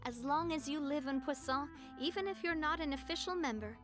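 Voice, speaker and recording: A woman voicing a spirited young leader who looks after her people, talking in a lively and encouraging way. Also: high voice, fairly quick, close to an everyday voice. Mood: earnest. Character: warm, spirited young woman who leads a protective group. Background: game music.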